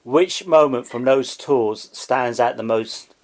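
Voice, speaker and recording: A man asks questions as a music interviewer. His style is casual and conversational, and his voice has an average pitch. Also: slow pace.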